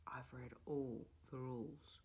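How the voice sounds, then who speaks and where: A woman voicing a quiet puzzled boy who murmurs softly. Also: extremely low pitch, fairly even intonation, slow pace, gravelly, breathy edge, full character voice. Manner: murmured, whispering.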